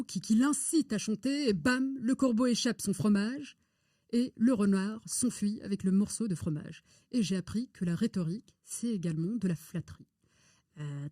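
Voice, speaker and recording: A woman speaking as an interview guest, telling her story in an articulate, deliberate, conversational way. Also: mid-range voice, warm tone, dry recording, studio quality. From born in Morocco. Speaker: interview guest, public affairs and rhetoric consultant.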